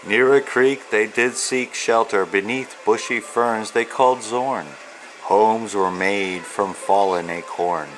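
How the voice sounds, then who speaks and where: Male author-reader for a children's picture book, lower register, very unhurried, measured storytelling delivery with pauses. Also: a bit bright, rough recording. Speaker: author and illustrator reading own children's book. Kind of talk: read-aloud storytelling of rhyming children's book.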